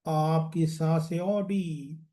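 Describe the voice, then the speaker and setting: Very slow man guiding meditation. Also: fairly high pitch, steady tone, mellow. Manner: soothing, deliberate, repetitive phrasing with trailing drops. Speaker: hypnotherapy and guided meditation coach. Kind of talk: guided meditation, hypnotic relaxation induction.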